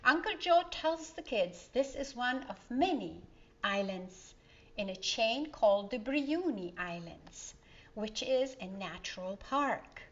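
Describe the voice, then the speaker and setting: A woman storyteller reading a children's story aloud in an expressive way, with dramatic pauses and asides to young listeners. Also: fairly high pitch, highs cut off.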